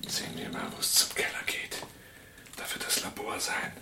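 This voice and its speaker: An actor playing a cool, commanding leader of a covert break-in in a German radio drama, giving dry instructions. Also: male voice, moderate pace, whispered, close to an everyday voice. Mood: hushed. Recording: clearly noisy old radio drama recording.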